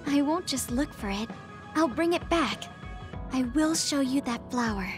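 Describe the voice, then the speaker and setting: A woman playing a gentle, earnest but stubborn young female soldier in an anime-style video game, speaking in short, halting sentences. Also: sits high, lively intonation, over music. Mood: quietly determined.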